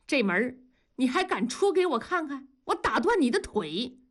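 Casting: blustering middle-aged husband for audiobook played by a woman, whiny, crude, defensive, high and swooping delivery. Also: sing-song, fairly quick. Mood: threatening. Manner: shrill tirades full of indignant rhetorical questions. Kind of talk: audiobook character dialogue.

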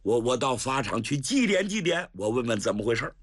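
A storyteller playing a blustering old general. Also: male voice, mid-range voice, big pitch swings, a little fast, husky, voice pushed down. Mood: anxious. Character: old hot-hearted general, loud and blustering.

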